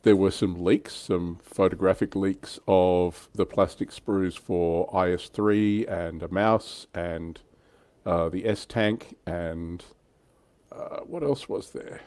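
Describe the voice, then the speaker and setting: A man's voice: deep voice, steady tone, slow pace, darkish tone, no echo. A man hosting a hobby livestream in a casual, chatty way, lightly teasing and prompting others. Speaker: host of a tabletop wargaming livestream.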